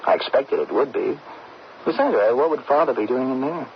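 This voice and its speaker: An anxious young student in a vintage radio play. His delivery is halting and puzzled, with lively swings in pitch. The sound is dull and band-limited, with faint noise. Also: gravelly. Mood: concerned. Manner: hesitant, halting. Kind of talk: old-time radio drama acting. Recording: muffled old radio broadcast, faint noise.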